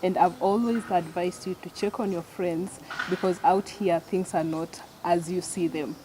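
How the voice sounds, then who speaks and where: A woman's voice: on the low side, steady tone, unhurried, noisy background. A woman hosting a conversational interview show.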